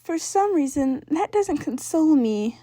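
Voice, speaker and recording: A woman's voice: sits high, animated, a little slow, warm tone, dry recording, pitched above the performer's own voice. A woman voicing a worried, exasperated teenage older sister in a fan fiction reading, emphatic and scolding. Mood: unconvinced.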